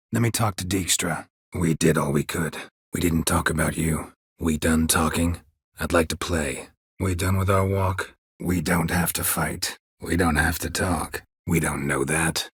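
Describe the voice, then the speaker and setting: Here is a world-weary, stoic monster hunter from a fantasy video game. The voice is very deep and heavily rasped, recorded close and dry. Lines come out terse and deadpan, with a sardonic edge. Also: male voice, dry recording, exaggerated voice. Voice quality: low, gravelly rasp. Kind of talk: video game character dialogue.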